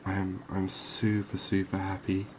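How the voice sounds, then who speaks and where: A man giving calm, unhurried ASMR-style commentary on a fishing game, explaining things as he plays. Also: low voice, background noise. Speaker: gaming video host.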